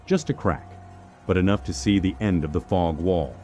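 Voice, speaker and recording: A man narrating a scripted first-person horror story in a measured, suspenseful manner. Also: low voice, warm tone, faint music behind.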